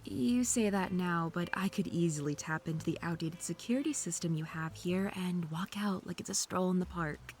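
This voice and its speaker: Woman doing a snarky hacker suspect for an audio drama, kinda low voice, defensive, noisy background. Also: normal speed, few pauses, close to an everyday voice. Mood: grudging, snarky. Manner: sarcastic, indignant, defensive.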